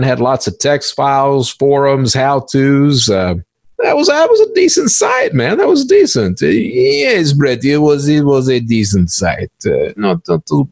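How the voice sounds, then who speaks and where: A man voicing a smug, cynical veteran cybercriminal in an interview skit, with halting, repetitive, stilted phrasing. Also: on the high side, vocal fry, dry recording, clean studio sound, voice pushed up, full character voice. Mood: wistful, approving. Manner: halting, repetitive, stilted grammar with drawn-out hesitations.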